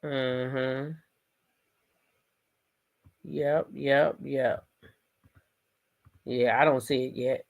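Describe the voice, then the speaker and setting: A woman's voice: a bass voice, little pitch movement, very slow, creaky, pauses often. An American woman doing casual live gossip commentary as host, sassy and emphatic, often repeating phrases for emphasis.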